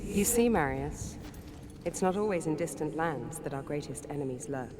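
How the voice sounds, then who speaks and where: A woman playing a knowing female spirit guide in a video game, speaking in a solemn, deliberate, portentous way. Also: deep voice, animated. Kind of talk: dramatic video game cutscene monologue. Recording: clear background ambience noise.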